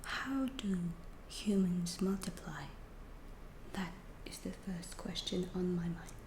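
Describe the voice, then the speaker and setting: A woman playing a calm, curious female alien who studies humans, whispering slowly and deliberately in an ASMR roleplay. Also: lowish voice, roomy sound, a little hiss or hum. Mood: curious, hushed. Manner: hushed, slow, deliberate, clinical phrasing.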